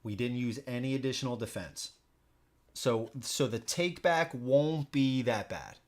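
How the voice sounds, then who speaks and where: A man with an average-pitched voice gives casual live commentary as he plays a board game. He thinks out loud in an informal way, often saying a phrase again as he works through his moves. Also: unhurried. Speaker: streamer hosting a solo board game playthrough. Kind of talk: casual live stream commentary while playing.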